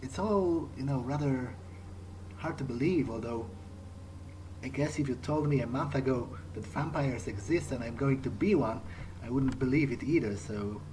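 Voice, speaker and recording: A man's voice: mid-range voice, moderate pace, darkish tone, a little hiss or hum, rough recording. A man giving in-character gameplay commentary, musing hesitantly to himself as he plays. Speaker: let's play gamer. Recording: game music behind the voice. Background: video game soundtrack.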